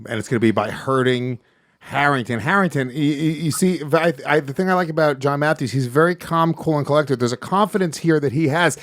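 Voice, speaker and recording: A man hosting a podcast and introducing a fighter, talking in a quick, questioning way. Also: fairly high pitch, fairly bright tone, no room sound, clean studio sound. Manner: hype announcer, then quick questions. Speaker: podcast host. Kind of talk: casual podcast chat, hosting a fight promo.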